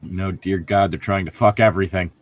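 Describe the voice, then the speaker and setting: Man, lowish voice, dry recording, casual, profane, incredulous, joking commentary. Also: steady tone, brisk, no echo. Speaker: host of a fanfiction reading show. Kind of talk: casual dramatic reading with mocking commentary.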